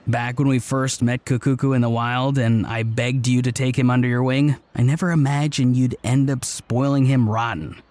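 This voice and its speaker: A man's voice: mid-range voice, steady tone, moderate pace, hardly any pauses, a little mellow, a little hiss or hum, natural voice. An actor playing an upbeat, loyal, playful young man with a breezy, earnest manner in a video game. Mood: teasing. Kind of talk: video game cutscene voice acting.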